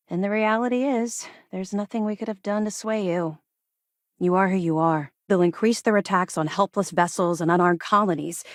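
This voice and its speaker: An actress playing a disciplined, earnest space-navy officer with a crisp military manner in a video game. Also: female voice, mid-range voice, no echo, studio quality, natural voice. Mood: wry, reflective. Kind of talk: video game character dialogue.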